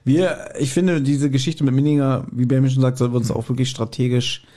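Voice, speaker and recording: A man's voice: medium pitch, level tone, fast talker, mellow. A man co-hosting a podcast, chatting casually and joking dryly. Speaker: podcast co-host. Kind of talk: casual chat with some reading from a book.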